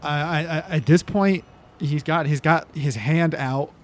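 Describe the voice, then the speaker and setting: A man playing a tabletop fantasy game, casually thinking aloud in halting phrases as his character. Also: a little high. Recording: clearly noisy recording.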